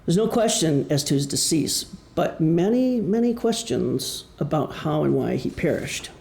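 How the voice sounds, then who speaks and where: A man from Wisconsin with a Midwestern American accent giving an explanatory public talk as an author, with deliberate pauses. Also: on the high side, big pitch swings, vocal fry, a little mellow, slight background noise. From Wisconsin, United States. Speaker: author presenting his novel. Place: indoor presentation room. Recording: echoey room.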